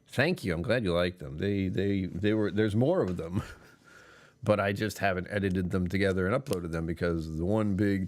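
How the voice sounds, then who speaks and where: A man hosting a casual live stream, rambling and chuckling as he chats with viewers; he sounds tired and his voice is lower than usual. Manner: rambling, chuckling, talking to chat. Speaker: livestream host.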